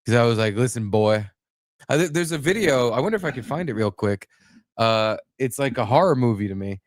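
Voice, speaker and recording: A man's voice: medium pitch, lively intonation, fast, no echo, clean studio sound. A man hosting a podcast, chatting casually and comedically, telling profane stories with acted-out exclamations. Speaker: podcast host.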